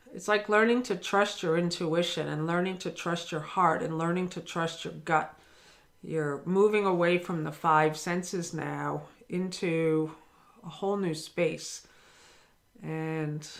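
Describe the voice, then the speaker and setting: Earnest woman giving a spiritual lesson. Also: lowish voice. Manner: earnest and encouraging, speaks to the listener directly. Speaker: spiritual teacher. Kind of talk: daily spiritual lesson talk.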